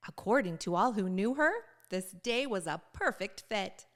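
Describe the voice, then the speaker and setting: A woman reading a children's storybook aloud with expressive, sing-song emphasis. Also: medium pitch, moderate pace. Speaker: children's book reader.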